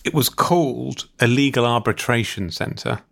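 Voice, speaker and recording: A man's voice: mid-range voice, moderate pace, creaky, a bit bright. A man co-hosting a comedy chat, telling stories in a rambling, self-mocking way. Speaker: podcast co-host. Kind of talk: comic storytelling chat.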